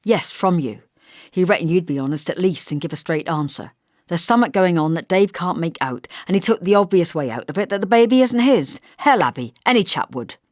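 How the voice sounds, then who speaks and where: A woman's voice: on the low side, lively intonation, a little fast, darkish tone, no room sound, studio quality, lightly acted. A woman voicing a blunt, bossy young working-class man in an audiobook, brusque and insistent, with colloquial turns of phrase. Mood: urgent. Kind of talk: audiobook character dialogue.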